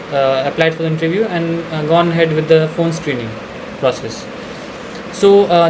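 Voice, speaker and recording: Hesitant man presenting over noisy background hum. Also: a little high. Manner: hesitant, halting delivery with frequent uhs. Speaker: software demo presenter. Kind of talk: unscripted screen-share walkthrough narration.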